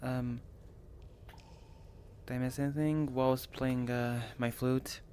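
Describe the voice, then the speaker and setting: A man's voice: medium pitch, flat delivery, a little slow, dark tone. Tentative man roleplaying over a headset. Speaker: roleplay player. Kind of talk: improvised online roleplay, narrating own actions. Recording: slightly noisy headset voice chat.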